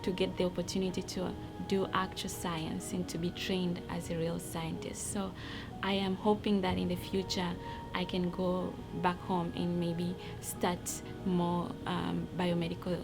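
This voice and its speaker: Female trainee with a Tanzanian accent, low and level delivery, music underneath. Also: little pitch movement, unhurried, vocal fry. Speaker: research trainee. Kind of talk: promotional interview testimonial.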